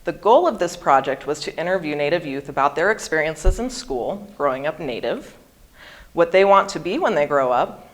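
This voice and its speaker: A woman in her early forties from Hawaii, giving a prepared seminar talk and pronouncing each word with care. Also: deep voice. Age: 40s. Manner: careful, over-articulated pronunciation. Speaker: guest speaker.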